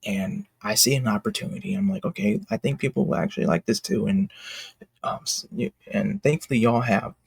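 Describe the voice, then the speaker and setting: A man giving casual behind-the-scenes commentary as an indie creator, talking in a halting, rambling, enthusiastic way. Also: medium pitch, very animated, gravelly, slightly rough, no echo. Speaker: indie comic creator and video host.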